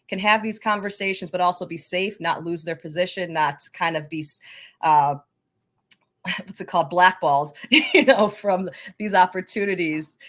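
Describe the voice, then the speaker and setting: A woman's voice: sits low, a bit bright. A woman speaking as an interview guest, explaining things earnestly and conversationally. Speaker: interview guest from a coaches association.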